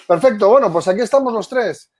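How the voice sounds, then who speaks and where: A man's voice: high voice, animated, fast talker, a little mellow. A man in his thirties hosting a webinar interview over a video call, asking questions in a friendly conversational way. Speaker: webinar host. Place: indoor office.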